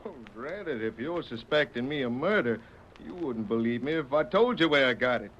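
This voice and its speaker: A man's voice: medium pitch, lively intonation, normal speed, fairly bright tone, natural voice. A man acting a folksy, indignant lone drifter in an old radio Western, with an American Western drawl and exclamatory talk. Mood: exasperated. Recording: dull, hissy old radio recording.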